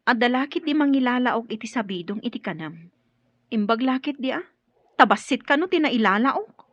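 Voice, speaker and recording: An actress playing a protective, earnest younger sister in an audio drama. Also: female voice, on the high side, lively intonation, moderate pace, fairly bright tone, dry recording, muffled recording. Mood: worried.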